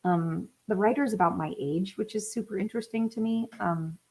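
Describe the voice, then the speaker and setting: A woman in her thirties talks loosely and at length to her viewers, filling her sentences with "um" and little laughs. Her voice sits at an ordinary middle pitch and her talk wanders from one thought to the next in a relaxed, chatty way. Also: moderate pace, husky. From United States (Atlanta area). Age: 30s. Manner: chatty and rambling, many fillers, laughs often. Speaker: musician hosting a live stream. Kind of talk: casual live-stream chat reading viewer comments.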